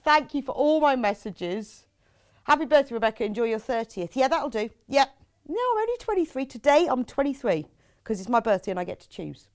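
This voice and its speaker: Lively, chatty British shopping presenter woman. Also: high voice, fairly quick. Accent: British English. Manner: chatty, rambling, full of tag questions. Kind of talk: live shopping chat, reading viewers' messages.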